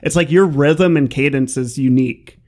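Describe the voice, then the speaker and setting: A man's voice: medium pitch, normal speed, darkish tone. A man, the podcast's sound engineer joining the casual chat, easygoing and supportive.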